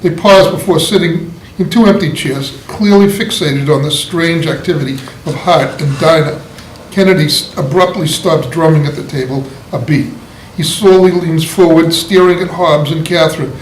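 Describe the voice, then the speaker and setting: A man's voice: on the high side, normal speed. A man reading scripted stage directions aloud as the narrator of a play read-through. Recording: echoey room, faint noise.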